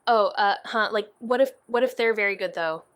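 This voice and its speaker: An actress plays a skeptical young woman in a mystery audio drama. Her delivery is deadpan and exasperated, cautious with a sweary edge. The recording is close and dry, at a middling pitch. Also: moderate pace, clear, resonant tone, no echo, natural voice. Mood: uneasy. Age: young adult. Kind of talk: naturalistic audio drama banter.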